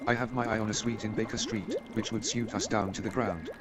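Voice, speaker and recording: A man's voice: on the low side, fairly even intonation, brisk, a crowd in the background, close to an everyday voice. A man playing an eager, self-assured amateur detective and chemist in a staged radio play, speaking in an emphatic, pedantic way. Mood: brisk, candid. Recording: noisy with watery background sound.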